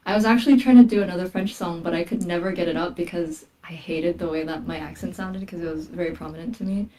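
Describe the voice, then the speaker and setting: A woman's voice: lowish voice, steady tone, quick pace, dark tone. Korean-born woman singer, a bit distant. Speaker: guest musician. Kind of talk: casual interview talk.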